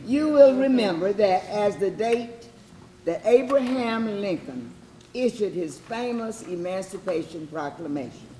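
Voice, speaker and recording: A speaker giving a public history address with deliberate, oratorical phrasing. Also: mid-range voice, expressive, dark tone. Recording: echoey room with faint noise.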